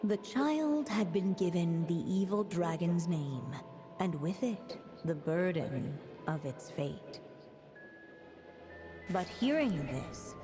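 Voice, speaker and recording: A woman playing a solemn, gentle witch who narrates a fairy tale in a video game, measured and storytelling. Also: lowish voice. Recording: long reverb under clear music.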